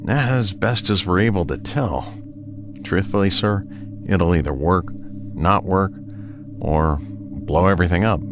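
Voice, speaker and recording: A man's voice: low voice, takes it slowly, long pauses, music in the background. A man playing a frank, doubtful military technician in an audio drama, hesitant and dry. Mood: uncertain.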